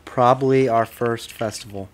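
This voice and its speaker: Guy playing a moody demon king rocker, mumbly and quiet, whispers a lot, comedy sketch. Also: medium pitch, steady tone, normal speed, close to an everyday voice. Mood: uneasy. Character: gloomy male demon-king rock star, timid under the tough act.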